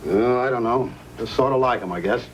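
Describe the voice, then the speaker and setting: A male actor as a folksy, crafty storekeeper of the frontier. He speaks at a middling pitch in old-time radio style, with background noise on the vintage recording. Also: brisk, natural voice, low-quality recording. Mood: evasive. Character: folksy fatherly frontier storekeeper who is secretly a crafty outlaw.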